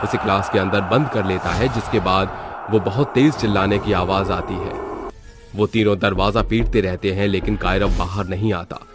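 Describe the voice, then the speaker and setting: A man reading scripted horror story narration as the narrator. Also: on the low side. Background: music.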